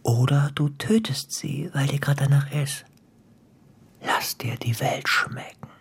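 Cruel playful gangster boss, husky breathy voice, toying and whispery, radio drama. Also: male voice, mid-range voice, steady tone, slow pace, full character voice. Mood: intimate, whispering menace. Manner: theatrical, toying, insinuating, often dropping to a whisper. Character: menacing male crime boss, playful, philosophizing, coldly cruel. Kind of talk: German radio drama acting.

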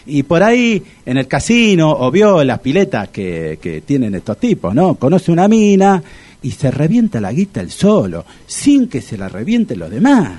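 An actor playing a bumbling, naive older bachelor in a radio comedy, stammering and repeating himself in a Rioplatense Argentine accent. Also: male voice, on the high side, huge pitch swings, moderate pace, darkish tone. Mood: nervous, rambling. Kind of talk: radio comedy sketch acting.

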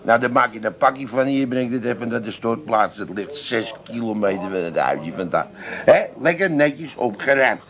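An actor playing a jovial, crafty drinking pal, chatty and casually bossy, in a comedic radio play. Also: male voice, medium pitch, lively intonation, normal speed, a bit bright. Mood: breezily instructing. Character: jovial, crafty pub mate of the schemer.

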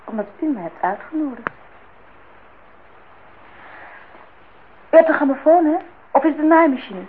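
A woman's voice: high voice, animated, fast, narrow, tinny audio. An actress playing a forthright, teasing young woman in a naturalistic radio drama, on a noisy old recording. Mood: cool, curious. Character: cool, teasing, independent young woman visitor.

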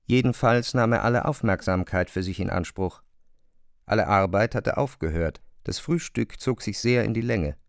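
A man narrating a literary radio drama in a measured, precise manner. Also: fairly low pitch, no echo, clean studio sound.

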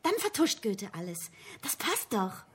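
An actress playing an inquisitive young woman in a radio crime drama, blunt and eager, with a husky voice. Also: mid-range voice, big pitch swings, unhurried, fairly bright tone, full character voice. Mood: eager, probing.

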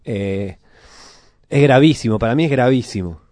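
A man's voice: medium pitch, normal speed, a little mellow, dry recording. A man with a Buenos Aires accent talking casually as a radio co-host, circling back and repeating his phrases. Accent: Buenos Aires Argentine Spanish.

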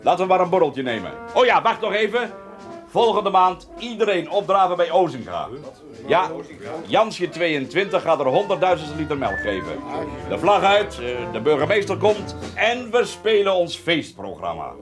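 An actor playing the jovial, bossy leader of a village band in a Dutch radio play. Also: male voice, sits high, big pitch swings, normal speed, over music.

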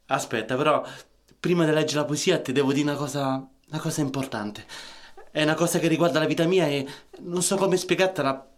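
A man's voice: a little high, steady tone, brisk, close to an everyday voice. An actor playing a shy, earnest man with a Roman accent in a radio play, hesitant and repeating himself. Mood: flustered.

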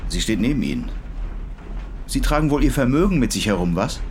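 An actor playing a brusque, suspicious, mocking railway official in German radio drama, with a sardonic edge. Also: male voice, medium pitch, big pitch swings, fairly quick, a little mellow, slight background noise, natural voice.

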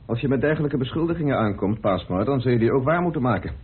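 A man's voice: mid-range voice, expressive, fast, husky. An actor in a radio play voicing a probing, formal man who questions acquaintances precisely. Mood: stern, insistent. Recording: muffled phone-like old radio recording.

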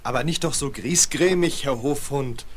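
A man's voice: mid-range voice, normal speed, close to an everyday voice. An actor playing a cheerful, vain snowman in a children's radio play, full of delighted exclamations. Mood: exhilarated. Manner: exclamatory, delighted, self-satisfied. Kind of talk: 1970s German children's radio play acting.

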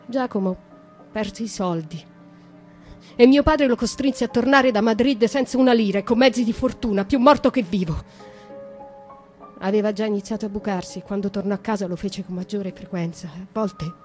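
An actress playing a young, tormented noblewoman who slowly and intimately confesses her past to a nun in a radio monologue. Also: mid-range voice, darkish tone, lightly acted. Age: young adult.

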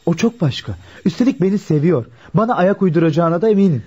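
An earnest young shopkeeper in a radio play, conservative and a little hesitant. He talks fast in a husky, mellow voice, and moralizes politely. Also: high-pitched, expressive. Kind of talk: Turkish radio drama acting. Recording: dull, lo-fi radio drama recording.

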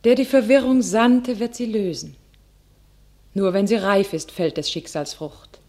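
A young warrior maiden who speaks in quiet, solemn verse, restrained and resigned, as voiced by an actress. The delivery is stately and theatrical, as in a classic radio play. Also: female voice, medium pitch, fairly even intonation, a little slow, natural voice. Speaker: heroine.

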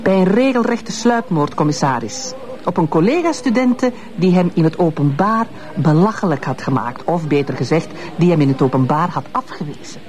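A woman's voice: deep voice, expressive, normal speed, darkish tone. An actress with a Flemish accent playing a bitter, sardonic ex-wife in her fifties in a radio drama, speaking in a mocking, insinuating way. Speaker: witness, ex-wife.

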